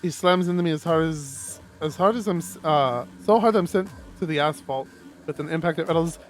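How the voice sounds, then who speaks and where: A man streaming a live read-aloud of a visual novel, expressive, with stumbles and casual asides. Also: on the high side, fairly quick, faint music behind. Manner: expressive, stumbles over words while reading.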